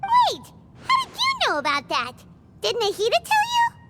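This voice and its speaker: A woman's voice: very high-pitched, wildly swooping pitch, moderate pace, very noisy, heavily acted. A woman voicing a tiny, chirpy female companion in a game cutscene, who blurts out questions and speaks of herself in the third person. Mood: flustered.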